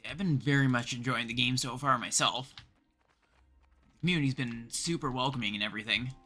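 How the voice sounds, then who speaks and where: Male game livestreamer, mid-pitched and bright, relaxed conversational commentary over faint game music. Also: fairly quick. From Canada. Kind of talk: casual gameplay commentary, chatting with viewers.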